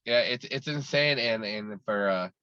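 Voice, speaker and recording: A man's voice: fairly low pitch, a little slow, bright, forceful tone, clear, resonant tone, dry recording, lo-fi sound. A man talking casually as an interview guest, rambling and restarting his sentences often. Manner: talkative and rambling, many restarts. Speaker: guest musician.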